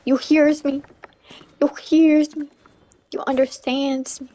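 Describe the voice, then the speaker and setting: Chatty young woman livestreamer, slightly high. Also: little pitch movement, takes it slowly, mellow. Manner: chatty, laughs often, repeats "you know what I'm saying". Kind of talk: casual chat while gaming, reading chat.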